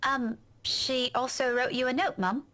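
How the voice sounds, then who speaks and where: A woman's voice: sits high, steady tone, measured pace, fairly bright tone. A woman playing a dutiful young adult daughter in a visual novel, casual and breezy in manner. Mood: sheepish. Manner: casual, hesitant at times, playful.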